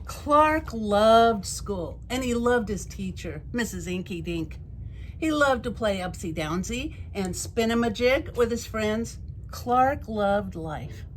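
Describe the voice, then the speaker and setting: A woman reads a children's picture book in a high, very lively voice. Her storytelling is slow and expressive, with some noise on the recording. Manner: animated, expressive, deliberate delivery.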